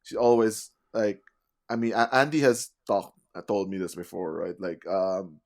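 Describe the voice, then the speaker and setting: A man's voice: low-pitched, steady tone, measured pace, darkish tone. A man hosting a casual podcast chat, talking with lots of fillers and laughing often. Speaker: podcast host.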